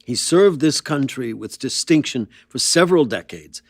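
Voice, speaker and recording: An actor playing a measured, statesmanlike president in a TV interview, speaking deliberately, gravely and diplomatically. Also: male voice, mid-range voice, animated, no room sound. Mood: firm, diplomatic. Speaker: politician being interviewed. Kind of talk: formal political interview.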